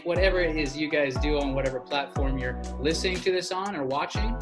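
A man hosting an interview podcast, chatty and enthusiastic, with many fillers and restarts. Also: on the high side. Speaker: podcast host. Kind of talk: interview podcast intro and chat.

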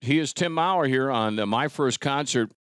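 A man hosting a casual interview podcast in a chatty, folksy, somewhat halting way. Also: medium pitch, fairly bright tone, clear, resonant tone, dry recording. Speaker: podcast host.

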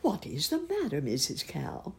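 A woman doing a courteous old rabbit gentleman. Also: sits low, huge pitch swings, normal speed, gravelly, voice pushed up, full character voice. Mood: concerned.

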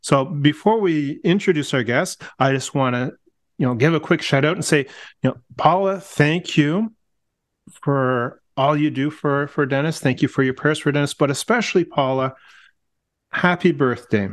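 A middle-aged man hosting a casual podcast, friendly and warm, often restarting his words and laughing gently. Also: on the high side, moderate pace. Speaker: podcast host.

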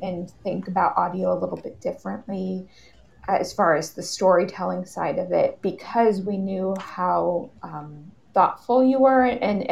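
A woman with a medium-pitched voice and noticeable vocal fry talks in a casual panel discussion. She is a writer on an audio drama, and she speaks informally and appreciatively. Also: a little mellow, poor audio. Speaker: podcast writing team member.

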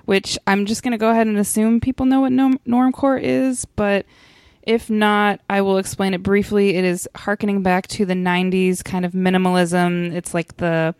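A woman's voice: mid-range voice, level tone, moderate pace, darkish tone, clear, resonant tone, no room sound. A woman co-hosting a casual podcast. She talks playfully, pokes fun at herself, and peppers her speech with "like". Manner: playful, self-deprecating, chatty with many "like"s. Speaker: podcast co-host.

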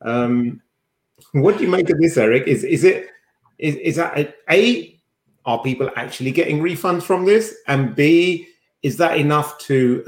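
A man co-hosting a podcast, chatting in an informal, teasing way. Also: medium pitch. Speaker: podcast co-host. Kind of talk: casual chat. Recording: roomy, echoing sound.

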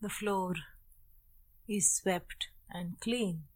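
A woman with a medium-pitched voice guides a meditation. She gives deliberate instructions in short, measured phrases, leaving long pauses between them, as a teacher would. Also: steady tone. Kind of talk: guided meditation with opening Sanskrit chant.

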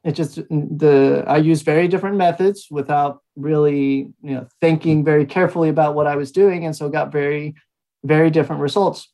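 A man in his thirties from Mexico, speaking as an interview guest, telling his story in a relaxed way with frequent fillers and small laughs. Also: fairly high pitch, fairly quick, rough recording. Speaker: guest, language institute founder. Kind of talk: conversational interview answers.

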